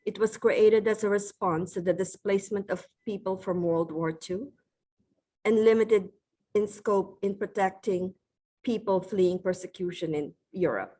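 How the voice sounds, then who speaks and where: A middle-aged woman from the Philippines giving a formal, measured acceptance speech with occasional restarts. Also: medium pitch, steady tone, mellow, rough recording. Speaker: award recipient giving a speech.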